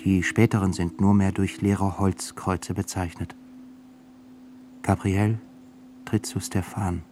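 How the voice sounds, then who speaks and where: Deep-voiced solemn male narrator. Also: level tone, darkish tone, a little hiss or hum. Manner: measured, solemn storytelling. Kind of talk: radio drama narration.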